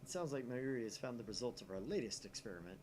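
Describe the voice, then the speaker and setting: A man's voice: mid-range voice, lively intonation, quick pace. A man reading visual novel narration aloud expressively as he plays, with some background noise. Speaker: video game player reading aloud.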